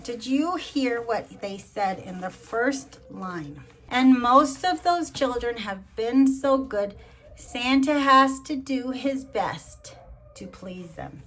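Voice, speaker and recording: Higher-pitched woman reading a storybook aloud. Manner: addresses child listeners with gentle questions. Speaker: grandmother reading to children. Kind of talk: storybook read-along with chatty asides.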